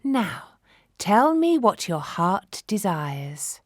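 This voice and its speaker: A wise old woman of the storybook forest, acted out by a woman narrator. She speaks softly and kindly, taking her time. The recording is close and dry. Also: mid-range voice, big pitch swings, dry recording, full character voice. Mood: welcoming. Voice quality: soft. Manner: kind, gentle, unhurried.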